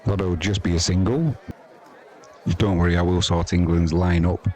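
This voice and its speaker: A man's voice: low-pitched, steady tone, moderate pace, a little mellow. A man doing casual, chatty live cricket commentary and talking to viewers, with dry, informal asides. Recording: crowd noise behind the voice. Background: sports crowd.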